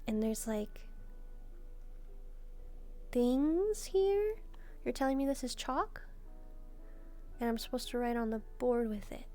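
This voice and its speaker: A woman's voice: fairly high pitch, takes it slowly, long pauses, dark tone, soft background music. A woman voicing a sweet, curious young demon maid, chatting politely and a little hesitantly to the listener in a roleplay. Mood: excited, curious.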